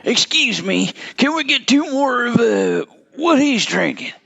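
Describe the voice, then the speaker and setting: An actor playing an eager, awkward, starstruck fan in an audio drama, polite and gushing in a halting way. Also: male voice, very high voice, sing-song, gravelly, sharp tone, full character voice.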